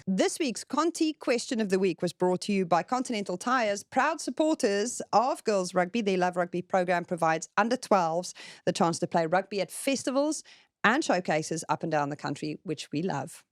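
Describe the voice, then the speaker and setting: A woman's voice: mid-range voice, animated, normal speed, no echo, clean studio sound. A woman hosting a casual podcast chat, upbeat and teasing, reading sponsor lines between laughs. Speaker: podcast host.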